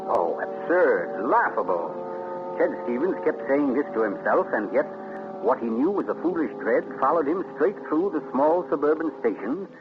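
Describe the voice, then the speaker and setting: An actor playing a grave author who narrates suspense in a deliberate, dramatic 1940s radio style. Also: male voice, mid-range voice, big pitch swings, lightly acted. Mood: grave, suspenseful. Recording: dull 1940s radio recording. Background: music.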